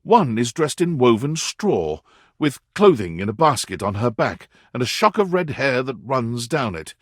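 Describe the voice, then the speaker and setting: An actor playing a video game's narrator, telling a story in a dramatic, measured way. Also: male voice, medium pitch, sing-song, no room sound, studio quality. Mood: dramatic storytelling. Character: male storybook-style narrator of a dating game. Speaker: game narrator. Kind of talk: scripted second-person story narration.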